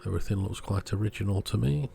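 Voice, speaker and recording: Very deep, gravelly man narrating repairs. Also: fast talker, mellow, soft background music. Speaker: host of an electronics repair channel. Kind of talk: hands-on repair tutorial narration.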